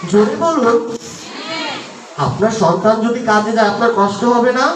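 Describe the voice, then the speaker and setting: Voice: medium pitch, normal speed, natural voice. A performer voicing a cheeky, chatty eight-year-old boy puppet, coaxing children with questions in live puppet show patter. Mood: earnest, rousing. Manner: coaxing, question-asking, addresses the crowd directly. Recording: distant, echoey, noisy live crowd recording.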